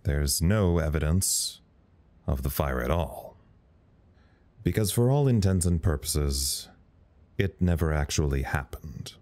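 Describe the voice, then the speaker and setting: An actor playing a weary, self-deprecating communications officer in an audio drama, talking in a rambling, wry way. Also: male voice, rumbling low, lively intonation, slow pace, pauses often, darkish tone, exaggerated voice. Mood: weary, dry. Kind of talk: audio drama recorded log monologue.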